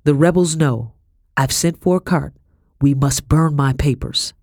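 A woman voicing a domineering, desperate colonial gentleman in an audiobook, urgent, forceful and commanding. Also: sits low, very animated, moderate pace, no echo, clean studio sound. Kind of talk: dramatic audiobook character acting.

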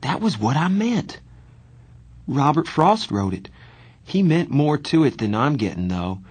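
A man's voice: a little high, very animated, unhurried, a little mellow, husky, pitched above the performer's own voice, heavily acted. A dreamy, bookish teenage boy from a novel, acted by a man talks in a raised voice with a faint husk. He sounds reflective and informal, a sensitive kid thinking aloud. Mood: musing.

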